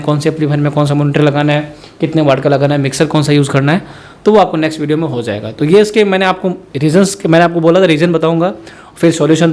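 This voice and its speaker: A man's voice: fairly high pitch, darkish tone. A man from India speaking, explaining sound equipment in a rambling tutorial that addresses viewers directly as friends. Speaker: musician teaching sound setup. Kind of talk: spoken tutorial.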